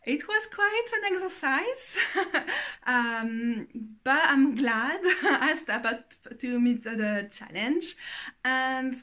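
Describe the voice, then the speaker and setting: A woman's voice: high-pitched, expressive, takes it slowly, pushed and bright. A tired young woman with a Belgian French accent, a French speaker reviewing a tarot deck in English, hesitant and often searching for words. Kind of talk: casual video review.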